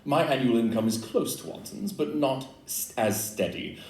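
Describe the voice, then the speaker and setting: An actor playing a precise, dry, reserved Victorian gentleman detective in a stage audio play, speaking formally. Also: mid-range voice, moderate pace, close to an everyday voice. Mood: measured, candid. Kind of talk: audio-play acting before an audience. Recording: roomy, distant live pickup.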